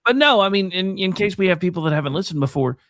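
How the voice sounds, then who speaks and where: A man's voice: high voice, lively intonation, fast talker, fairly bright tone, no echo, clean studio sound. A man recounting personal experience in a casual, earnest and enthusiastic way.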